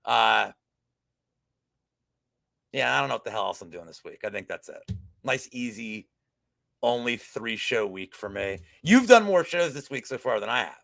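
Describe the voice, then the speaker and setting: A man's voice: medium pitch, big pitch swings, normal speed, gravelly, pauses often, fairly bright tone. A man in his forties hosting a casual betting podcast, joking in a loose, rambling way. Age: 40s. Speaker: podcast host.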